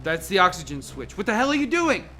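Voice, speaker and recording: A woman playing a cautious senior scientist in a radio play, measured and trying to keep others calm. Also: medium pitch. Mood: alarmed. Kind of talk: amateur radio play acting.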